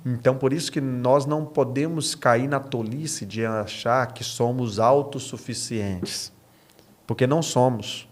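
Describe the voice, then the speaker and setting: A man's voice: mid-range voice, measured pace. A Brazilian man speaking as a pastor and podcast guest, earnest and emphatic, mixing conversational preaching with anecdotes and rhetorical questions. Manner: earnest, emphatic, punctuates points with rhetorical questions and tag checks.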